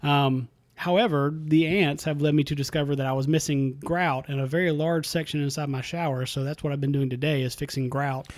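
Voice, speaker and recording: A man's voice: on the high side, fairly even intonation, brisk, few pauses. A man, telling easygoing stories with dry humor as a podcast co-host. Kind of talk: casual chat.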